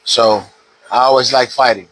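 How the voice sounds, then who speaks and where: A man in his fifties from the Bahamas, a retired boxer calmly telling his story in an interview at a boxing gym. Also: mid-range voice, moderate pace, slight background noise, rough recording. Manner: relaxed, steady storytelling with frequent "uh". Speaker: retired pro boxer, guest. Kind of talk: casual interview.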